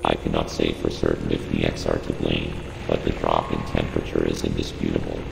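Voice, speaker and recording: Extremely deep, gravelly robotic computer voice. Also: male voice, completely flat delivery, fairly quick, exaggerated voice. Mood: cold, measured. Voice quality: synthetic, robotic. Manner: formal, clipped, emotionless, instructing. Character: cold, analytical male computer intelligence briefing a hunter. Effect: robotic voice processing. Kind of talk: scripted game mission briefing. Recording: echoing reverberant game audio, loud ambient noise.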